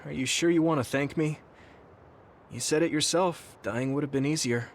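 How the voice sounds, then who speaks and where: A man playing an earnest, steady young soldier in a video game, speaking in a measured, kindly way. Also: a little high, a little hiss or hum, close to an everyday voice. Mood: stern, sincere. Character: earnest young male soldier, kind, steady, easily exasperated. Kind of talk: English video game voice acting. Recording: music behind the voice.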